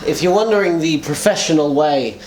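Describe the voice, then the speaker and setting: A man's voice: on the high side, fairly quick, darkish tone, faint music behind, rough recording. Chatty young man, slightly nasal. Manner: chatty, self-mocking, laughs often. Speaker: host of a fan community show. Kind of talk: casual solo video chat.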